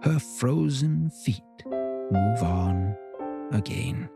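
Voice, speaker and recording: Man narrating very slowly over loud piano music. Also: medium pitch, animated, vocal fry. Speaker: narrator of an audio drama. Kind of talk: slow dramatic poetic narration.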